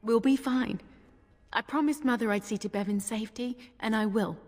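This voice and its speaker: Actress as high-voiced earnest young villager, reverberant. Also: female voice, moderate pace, darkish tone, lightly acted. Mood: worried, resolute. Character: determined young villager protective of younger sibling. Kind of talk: fantasy video game voice acting. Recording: long hall reverb.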